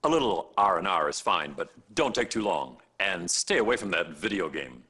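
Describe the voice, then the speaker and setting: Raspy, forceful male senior doctor. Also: deep voice, very animated, normal speed, exaggerated voice. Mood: stern. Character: stern, condescending male senior doctor in a game. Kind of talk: acted game cutscene dialogue addressed to the player.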